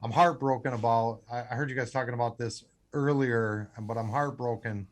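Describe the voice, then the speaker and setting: A man's voice: mid-range voice, fairly even intonation, moderate pace. An American man in a radio interview, talking earnestly with many restarts and fillers. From United States. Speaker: radio guest, scholarship founder.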